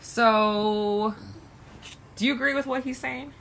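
A woman's voice: fairly high pitch, steady tone, takes it slowly, gravelly, fairly bright tone, rough recording. A woman co-hosting a casual podcast, chatty and self-conscious, with lots of fillers and restarts. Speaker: podcast co-host. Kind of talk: casual chat.

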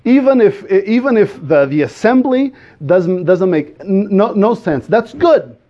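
A man from the Azores with a Portuguese accent, a native Portuguese speaker preaching a sermon in an earnest, halting way, often restarting and putting questions to the congregation. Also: sits high, expressive, mellow, muffled recording. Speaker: guest preacher.

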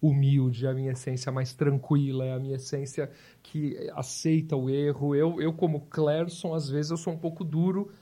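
A man's voice: on the high side, steady tone, moderate pace, hardly any pauses, mellow. A man in his fifties from southern Brazil, a volunteer hospital clown, telling his story in an interview with a reflective, storytelling manner. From Porto Alegre, Brazil. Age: 50s. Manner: reflective, storytelling, with repeated restarts. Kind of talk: interview conversation.

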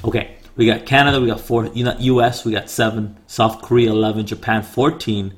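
A man with a raspy voice chatting casually as co-host, thinking aloud with fillers and questions. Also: medium pitch, level tone, fairly quick. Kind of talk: casual chat. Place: home in Japan.

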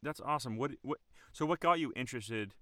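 A man's voice: mid-range voice, fairly quick, bright, forceful tone, no echo, clean studio sound. A man hosting a podcast, chatting casually and rambling with lots of "like" and "um". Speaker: podcast host. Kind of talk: casual chat.

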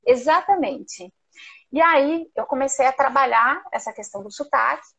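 A woman with a Brazilian Portuguese accent speaking as a guest in a live online interview, telling personal stories in a talkative, expressive, conversational way. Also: high voice, normal speed, gravelly, husky. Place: remote video call.

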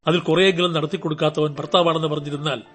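An actor playing a callous, scheming middle-aged father in a radio drama, commanding and quick to bark. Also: fairly high pitch, fairly even intonation, fairly bright tone, faint music behind. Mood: self-justifying. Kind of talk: Malayalam radio drama acting.

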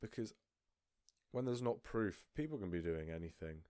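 A man's voice: on the low side, lively intonation, normal speed, mellow, no echo, clean studio sound. A young man talking casually on his own podcast, rambling with frequent restarts. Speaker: podcast host. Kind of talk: casual solo podcast monologue.

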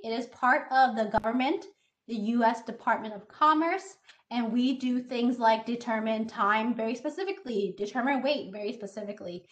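A woman in her thirties, a scientist, giving a friendly, explanatory online presentation to students over a video call. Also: fairly high pitch. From Taiwan, raised in the United States. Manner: friendly, explanatory, with filler uhs. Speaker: scientist giving a talk to kids.

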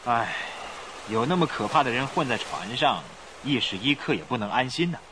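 An actor playing an anxious, fretful gentleman ship passenger in a radio drama. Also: mid-range voice, animated, normal speed, background noise, natural voice.

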